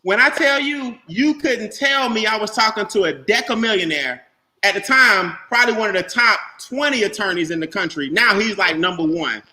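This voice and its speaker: A man telling a long, animated story on a group video call as host and trainer, loud and laughing, with casual slang. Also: high voice, moderate pace. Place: home room on video call. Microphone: webcam or laptop mic on video call. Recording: echoey room, faint noise.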